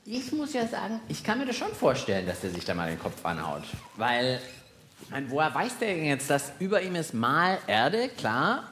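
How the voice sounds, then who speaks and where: A man with a very animated delivery, co-hosting a kids' show on stage. He talks casually, exclaiming and puzzling out loud. Faint noise sits behind the voice. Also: a little high. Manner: playfully puzzled, thinks aloud, exclaims. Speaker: co-host and test subject on a children's science show. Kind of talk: casual, spontaneous chat on stage. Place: live on stage at a podcast festival.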